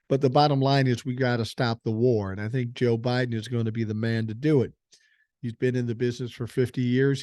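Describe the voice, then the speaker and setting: A man's voice: mid-range voice, a little mellow, no echo, studio quality. An older man hosting a political podcast, giving blunt, profane opinions and reading news stories aloud. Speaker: podcast host.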